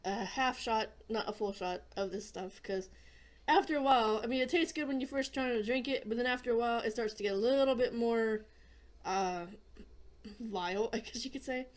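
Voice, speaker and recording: A hoarse woman hosting a casual gaming video, chatting in a rambling way and often clearing her throat. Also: on the high side, normal speed. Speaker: gaming channel host.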